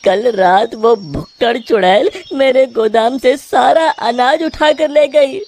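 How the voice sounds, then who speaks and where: A woman's voice: fairly high pitch, slow pace, a little mellow. A woman voicing a cowardly, distraught village grain merchant in a cartoon moral story, wailing and lamenting on a slightly noisy recording. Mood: woeful.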